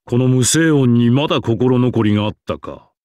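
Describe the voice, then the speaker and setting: A man's voice: mid-range voice, moderate pace, darkish tone, no room sound, highs cut off, lightly acted. A man voicing a proud, genial old king in a game, with a stately, archaic way of speaking. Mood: approving, genial. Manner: stately, archaic, self-satisfied. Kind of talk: Japanese game character voice acting.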